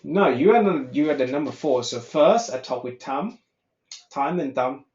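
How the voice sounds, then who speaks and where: A man's voice: mid-range voice, animated, moderate pace, darkish tone, roomy sound, dull audio. A man from Vietnam with a mixed Vietnamese, American and Australian accent, chatting frankly as an English conversation tutor, swearing casually and thinking aloud. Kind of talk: casual online chat.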